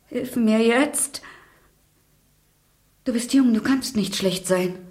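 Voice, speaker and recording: An actress in a German radio play voicing a grieving, devout noblewoman, halting and pleading, often sinking into a breathy whisper. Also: fairly high pitch, a little mellow. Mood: haunted, pleading. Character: grieving devout noblewoman, widow confronting her husband's killer. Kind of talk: dramatic radio play acting.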